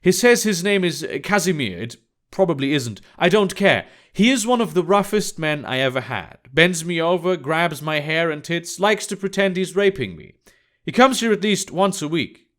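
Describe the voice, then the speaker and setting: Man voicing a brash, scornful woman, raised pitch. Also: expressive, normal speed, fairly bright tone. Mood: blunt, matter-of-fact. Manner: blunt, dismissive. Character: brash, irritated adult woman sex worker, blunt and scornful. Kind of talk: audiobook character dialogue.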